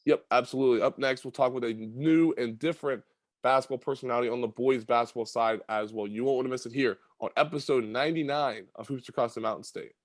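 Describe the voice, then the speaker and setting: A man's voice: medium pitch, quick pace, dry recording, studio quality. Chatty man hosting a sports podcast. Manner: chatty, filler-heavy questions. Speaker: podcast host.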